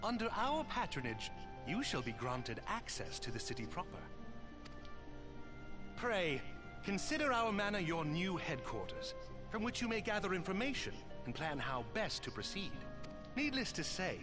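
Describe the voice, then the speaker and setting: A man's voice: high-pitched, wildly swooping pitch, normal speed, music in the background. Courteous nobleman with sweeping intonation. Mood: reassuring, earnest. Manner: formal, archaic courtly phrasing. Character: courteous, earnest nobleman, loyal and reassuring. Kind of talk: video game cutscene acting.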